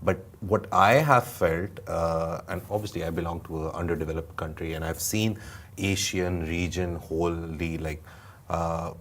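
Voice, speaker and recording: A man's voice: sits low, steady tone, measured pace, a bit bright. A man in his thirties with a Pakistani accent, talking earnestly and reflectively as co-host of a studio chat. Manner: reflective, earnest, with frequent fillers.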